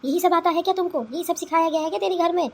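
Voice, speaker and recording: Nagging village mother-in-law, voiced by a woman, high-pitched, reproachful and disdainful, cartoon comedy. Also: racing, a little hiss or hum. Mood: disgusted. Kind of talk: Hindi cartoon family comedy dubbing.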